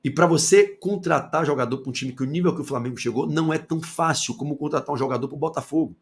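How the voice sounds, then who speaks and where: A man with a Bahian accent holds forth on football in a fairly high, gravelly voice. He keeps throwing rhetorical questions at the listener and swings from loud outbursts down to low asides. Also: fast. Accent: Bahian Portuguese. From Salvador, Bahia, Brazil. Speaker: football commentator. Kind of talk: opinionated football commentary monologue.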